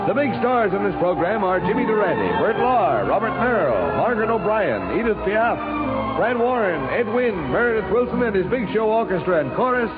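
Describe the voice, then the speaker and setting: A man announcing a 1950s radio show from a script in a grand, showy manner. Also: high voice. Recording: tinny old radio, loud music. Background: loud music.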